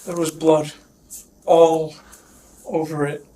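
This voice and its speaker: A man being questioned by police, recounting in a halting, shaken way while getting over a sickness. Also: a little high, little pitch movement, dark tone. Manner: shaken, halting, repeats himself. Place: police questioning, recorded on bodycam. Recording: noisy police bodycam audio.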